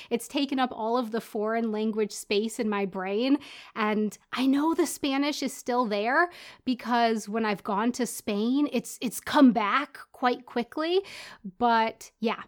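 A bubbly American woman with a high voice that is smooth and clear. She chats casually as a guest and laughs often. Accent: American English. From United States. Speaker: interview guest. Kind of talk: casual conversation.

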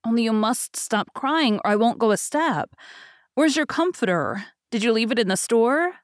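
A woman's voice: on the high side, lively intonation, moderate pace, no room sound, clean studio sound, voice pushed up, close to an everyday voice. A woman voicing a determined, earnest young farm woman in a storybook reading, lifting her voice for the part. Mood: decisive.

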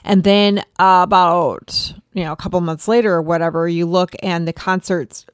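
A woman's voice: on the low side, normal speed, clear, resonant tone, dry recording, clean studio sound. A middle-aged woman, co-hosting a casual music podcast and telling stories in a chatty way. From northern Illinois, US. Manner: chatty storyteller, animated retelling. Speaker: podcast co-host. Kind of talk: casual chat.

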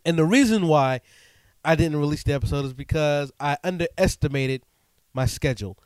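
A man's voice: fairly high pitch, a little fast, clean tone, no room sound. A male podcast host and DJ with a stuffed-up nose, talking casually and loosely with lots of fillers in a studio. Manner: relaxed and chatty, frequent "um" and "man". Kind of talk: casual solo podcast talk.

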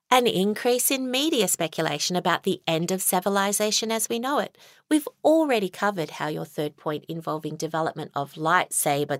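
Aussie school principal lady acting it, deadpan n officey, kinda low, very dry recording, comedy audio story. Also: gravelly, no echo. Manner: measured, formal, deadpan. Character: earnest, bureaucratic high school principal, calmly supportive. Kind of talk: acted comic monologue in an audio short story.

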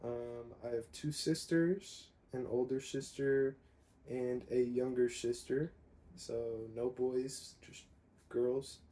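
A man's voice: medium pitch, takes it slowly. A man in his twenties co-hosting a podcast, talking casually and rambling with lots of fillers. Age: 20s. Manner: relaxed, rambling, many fillers. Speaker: podcast co-host. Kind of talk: casual conversation.

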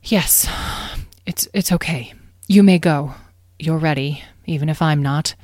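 A woman's voice: fairly low pitch, big pitch swings, brisk, darkish tone, no room sound, studio quality. A woman voicing a worried, tender mother dragon in a storybook, earnest and hesitant. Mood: tearful, resigned.

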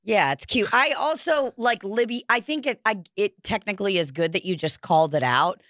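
A woman's voice: mid-range voice, normal speed, fairly bright tone, no room sound, clean studio sound. A woman hosting a podcast in casual chat, rambling and jokey with lots of filler words. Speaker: podcast host.

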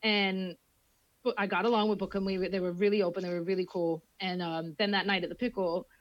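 A woman's voice: medium pitch, level tone, a little fast, no echo, dull audio. A woman from England, a guest chatting casually on a podcast, telling stories in a chatty way and swearing freely. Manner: chatty storyteller who acts out quoted voices, swears freely.

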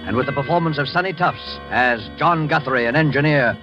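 Man acting as ominous 1940s radio suspense host, measured and formal, muffled old recording, loud music. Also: on the high side, lightly acted. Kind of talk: 1940s radio drama narration.

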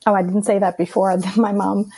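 Hesitant New woman with a gravelly edge, a guest on a casual interview podcast. Her was Greek, and she fills her speech with ums and uhs. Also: medium pitch, little pitch movement, moderate pace, dark tone, poor audio. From Queens, New York. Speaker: guest, personal stylist.

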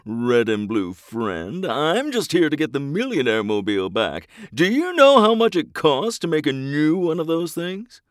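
An actor playing a pompous, vain millionaire superhero, theatrical, in a comedy audio drama. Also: male voice, on the high side, sing-song, measured pace, few pauses, dry recording, studio quality. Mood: haughty, dismissive.

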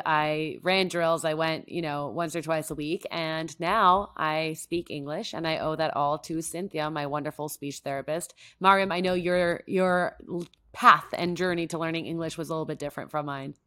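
A young woman from Mexico co-hosts a podcast with a somewhat low voice. She tells stories in an easy, upbeat and friendly way. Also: moderate pace, fairly bright tone, smooth. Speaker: podcast co-host. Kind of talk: casual podcast chat.

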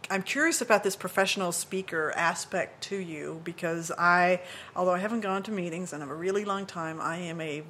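A woman hosting a casual podcast interview, chatty and quick to laugh. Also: on the low side, a bit bright, slight background noise. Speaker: podcast host.

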